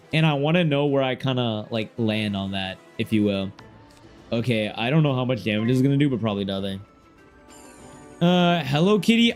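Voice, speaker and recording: A young man streaming a game and chatting casually with his viewers, rambling in heavy slang and often trailing off quieter. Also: medium pitch. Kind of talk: casual livestream chat, reading chat aloud. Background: faint game music.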